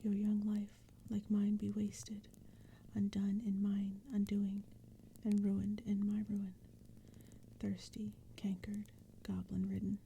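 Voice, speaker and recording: A woman voicing devoted, impassioned young sisters from a storybook poem in a breathy, pleading whisper. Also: mid-range voice, slow pace. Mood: anguished pleading. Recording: steady background ambience noise. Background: crackling fire and forest sounds.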